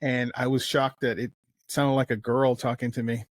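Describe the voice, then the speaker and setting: A man's voice: medium pitch, little pitch movement, normal speed, no room sound, clean studio sound. A man hosting a podcast in casual chat, blunt and swearing, calling people "dude". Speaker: podcast host.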